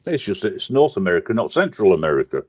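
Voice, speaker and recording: A man's voice: low-pitched, big pitch swings, fast talker, breathy edge, no room sound. A man bantering casually on a panel with a dry, teasing manner. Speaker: talk show panelist.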